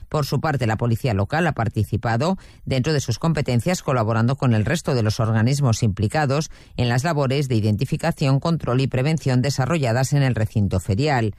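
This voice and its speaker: A man's voice: medium pitch, brisk, few pauses, a little mellow, dry recording, clean studio sound. A man with an accent from Spain reading a scripted news report. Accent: Spanish from Spain.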